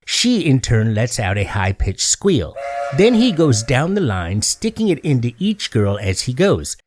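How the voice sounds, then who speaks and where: A man acts out an eager, self-important middle-aged male schemer in a comedy sketch. The voice is mid-pitched and swings up and down with excitement. He sells his idea earnestly and crudely, checking for agreement as he goes. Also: unhurried, noisy background. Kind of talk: acted comedic monologue.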